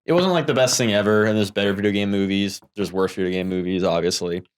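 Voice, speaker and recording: A man's voice: on the low side, fast, smooth. A man co-hosting a podcast in casual banter, wry and nitpicking as he jokes around. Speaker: podcast co-host, video game reviewer. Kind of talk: casual banter and movie discussion.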